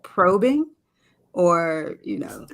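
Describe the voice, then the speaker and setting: A woman's voice: lowish voice, very animated, very slow, creaky, fairly bright tone. A woman answering as a guest in a casual conversation, reflective and searching as she tentatively works out her thoughts. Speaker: poet and professor, guest. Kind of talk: casual, unscripted interview answer.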